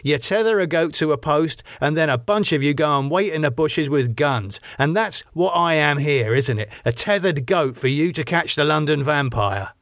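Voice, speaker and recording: A male audiobook narrator plays a haunted, defiant man with a raised, very animated pitch. He is agitated and snappish, prone to bitter sarcasm. The sound is dry. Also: normal speed, hardly any pauses, no echo. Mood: angry, accusing. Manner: stammering, sweary, snapping outbursts. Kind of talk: audiobook character dialogue.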